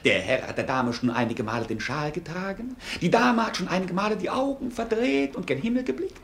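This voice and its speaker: A glib court fool in a radio play, his highish voice swinging up and down dramatically. He declaims with mock ceremony, like a fairground showman mocking his noble audience. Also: expressive, brisk, a bit bright. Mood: grandiose showman patter. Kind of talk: theatrical radio play acting.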